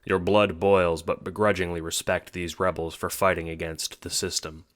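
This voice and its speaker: A man's voice: low voice, flat delivery, normal speed, noisy background. A man narrating a scripted audio drama in the second person.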